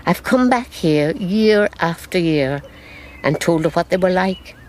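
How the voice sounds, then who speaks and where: An actress playing an elderly Irish grandmother in a radio drama, recounting her past in a measured, sorrowful, wistful way. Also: lowish voice, lively intonation, a little mellow, slight background noise. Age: older.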